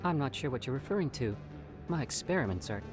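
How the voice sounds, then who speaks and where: A man's voice: mid-range voice, animated, quick pace, close to an everyday voice. Man playing an evasive alien scientist over music. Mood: feigned politeness. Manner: smooth-talking, self-justifying, persuasive. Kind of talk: video game character acting.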